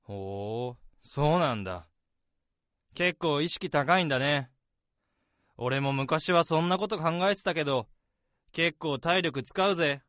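A man's voice: a little high, animated, unhurried, long pauses, a bit bright, no echo, studio quality. A man playing an easygoing, sociable senior office worker in a radio drama, talking casually to his junior. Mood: easygoing, advising. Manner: casual, blunt masculine speech of a senior. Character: easygoing male senior salaryman, sociable, beer-loving, mildly wary. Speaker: radio drama actor and narrator. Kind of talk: radio drama dialogue and narration. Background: background music.

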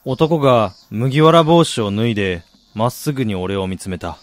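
An actor playing a weary middle-aged failed businessman, narrating his regretful past in a measured, reflective way for a radio drama. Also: mid-range voice, dry recording, close to an everyday voice. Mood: uneasy, reflective. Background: music.

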